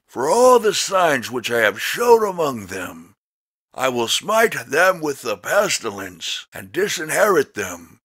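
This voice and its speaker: A man reading scripture as a narrator in a solemn, dramatic style, with measured, emphatic, declamatory delivery. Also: medium pitch, fairly bright tone, no echo. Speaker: Bible narrator.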